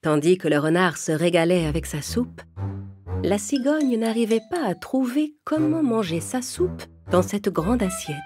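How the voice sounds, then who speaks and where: Low-pitched woman storyteller. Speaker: narrator. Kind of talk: scripted children's story narration.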